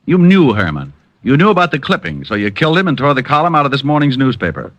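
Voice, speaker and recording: A man's voice: mid-range voice, very animated, fairly bright tone, dull audio. An actor playing a tough, wisecracking male private detective in an old radio drama, who also narrates the story. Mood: wry. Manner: wisecracking, quick comebacks. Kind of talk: 1950s radio drama acting.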